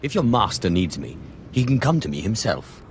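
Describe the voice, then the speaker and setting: An actor playing an arrogant, menacing dark-side warrior in a video game, curt and contemptuous. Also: male voice, on the low side, big pitch swings, moderate pace, slight background noise. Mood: haughty. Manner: curt, haughty. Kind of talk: video game cutscene acting.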